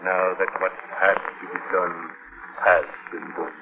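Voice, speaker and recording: An actor playing a sinister, courteous voodoo man with a measured, ominous manner in a 1940s radio drama. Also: sits low, little pitch movement. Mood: solemn, ominous. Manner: polite, measured, ominously deliberate. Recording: noisy, muffled 1940s radio recording.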